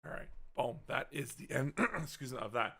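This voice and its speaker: A man hosting a podcast, rambling through a profane, casual movie recap. He swings from loud outbursts to muttering, and his voice is wearing out. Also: mid-range voice, normal speed, gravelly, breathy edge. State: losing his voice. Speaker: podcast host.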